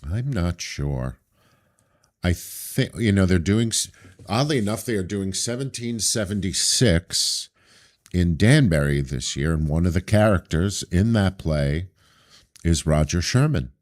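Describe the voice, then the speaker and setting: A man from Brooklyn, a theater director being interviewed, with a deep voice and a thoughtful, storytelling manner over a video call. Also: expressive, unhurried. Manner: thoughtful, anecdotal, hesitant starts. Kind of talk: casual interview.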